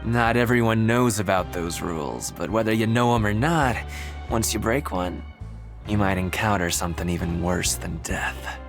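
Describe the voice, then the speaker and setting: A man's voice: on the low side, normal speed, lightly acted. A man playing a sly, streetwise prisoner in a video game, teasing and cryptic, with music behind him. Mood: ominous. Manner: chuckling, cryptic, teasing with slangy folksy talk. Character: sly streetwise male prisoner, knowing and ominous. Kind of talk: video game character acting.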